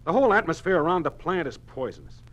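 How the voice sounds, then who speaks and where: An actor playing a distraught middle-aged American husband and plant manager in a 1950s radio drama, agitated and halting. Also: male voice, on the high side, sing-song, a bit bright, lo-fi sound. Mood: agitated, grave. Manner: halting, agitated delivery, repeating words.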